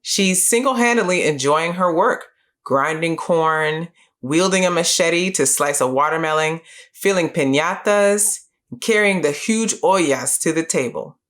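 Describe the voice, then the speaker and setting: A middle-aged woman hosting a book series, giving scripted educational narration in a clear, measured, explanatory way. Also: low-pitched. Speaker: host of a children's book review series.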